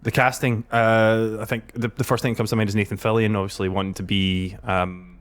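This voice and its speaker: Low-voiced man on a podcast panel. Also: flat delivery, brisk, smooth. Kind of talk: casual discussion.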